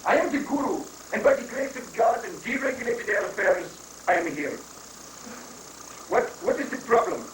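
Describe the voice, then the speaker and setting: A man's voice: high-pitched, sing-song, moderate pace. A man playing a self-important, stubborn hippie guru with a sardonic, grandiose manner in a satirical play reading. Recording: echoey room with faint noise.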